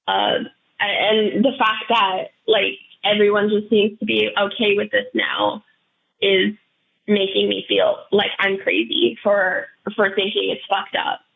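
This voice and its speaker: A woman calling in to a show with a personal update, her voice hoarse and froggy, talking haltingly and earnestly with many restarts. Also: mid-range voice, flat delivery. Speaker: caller. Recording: tinny phone line.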